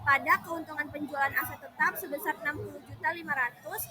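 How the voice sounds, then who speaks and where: A woman's voice: high-pitched, fairly even intonation, fast talker, a crowd in the background. A young woman, a student, presenting a worked accounting problem in class, talking hesitantly with frequent fillers. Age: young adult.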